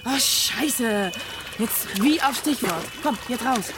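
Brash, bossy young woman, fairly high. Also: lively intonation, slow. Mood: urgent, bossy. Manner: slangy, brusque, commanding. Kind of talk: radio crime drama dialogue. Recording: studio radio play with loud music behind.

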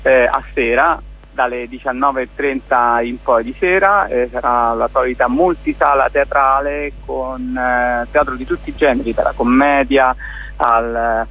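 Male interview guest, mid-pitched, informal and halting, feeling his way through phrases. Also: fairly bright tone, rough recording. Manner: informal, halting, searching for words. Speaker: festival organiser, radio guest. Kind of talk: casual spoken interview.

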